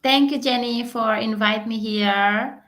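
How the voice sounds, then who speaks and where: Panel guest: woman from Indonesia, bright voice, simple English, filler words. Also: a little high, steady tone, unhurried, slight background noise. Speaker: guest entrepreneur. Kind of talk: live online panel. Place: home in Austria.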